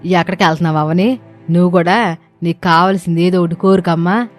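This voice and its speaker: A woman's voice: lowish voice, steady tone, fairly quick, soft background music, close to an everyday voice. A woman playing a proud older village mother-in-law in a moral story, speaking in emphatic, familiar phrases. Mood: goading. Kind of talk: acted moral story dialogue.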